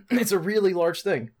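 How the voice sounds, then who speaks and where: A man's voice: sits high, darkish tone. A man chatting casually as a podcast co-host in a rambling, informal, swearing way. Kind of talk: casual gaming podcast chat.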